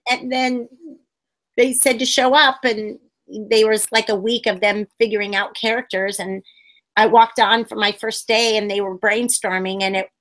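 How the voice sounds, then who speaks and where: A woman in her forties, a puppeteer, telling stories in a casual interview over a webcam video call from a room at home, acting out quotes with sudden high squeals. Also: flat delivery, normal speed, a bit bright, low-quality recording. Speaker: puppeteer guest.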